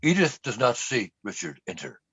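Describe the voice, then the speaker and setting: A man's voice: mid-range voice, sing-song, moderate pace, fairly bright tone, breathy edge, no room sound, dull audio. A man reading scripted stage directions as the narrator of a radio play.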